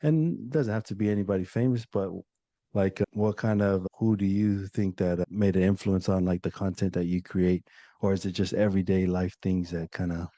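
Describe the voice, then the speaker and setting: A man hosting a podcast interview, chatting casually with lots of fillers in a relaxed, laid-back way. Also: low voice, moderate pace, no room sound, dull audio. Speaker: podcast host.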